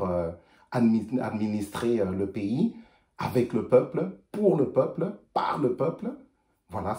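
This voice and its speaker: A man's voice: lowish voice, mellow, roomy sound. A man speaking earnestly to his followers from his office, hesitant at first and then building to emphatic repeated refrains. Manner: earnest, halting, many hesitations and repetitions; rises to emphatic rhetorical refrains. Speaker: political commentator addressing followers. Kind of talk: spontaneous video message. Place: office during a break.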